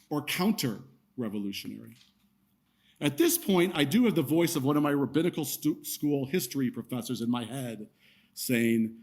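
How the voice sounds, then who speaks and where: A man's voice: a little high, breathy edge. A middle-aged male rabbi giving a sermon, telling stories with wry asides to his congregation. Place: synagogue sanctuary.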